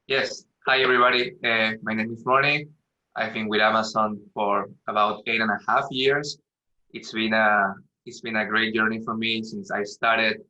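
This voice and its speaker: A man in his thirties with a Peruvian Spanish accent gives a professional self-introduction. His voice is very bright and forward with a flat, even pitch, and he speaks haltingly, repeating words. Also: muffled recording. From Peru. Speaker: panelist introducing himself.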